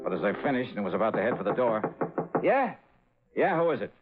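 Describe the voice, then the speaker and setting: An American man playing a brisk, wry insurance investigator in a clipped 1950s detective radio drama style. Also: mid-range voice, very animated. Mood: probing. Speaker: lead actor and narrator. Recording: tinny, band-limited old radio recording, faint music.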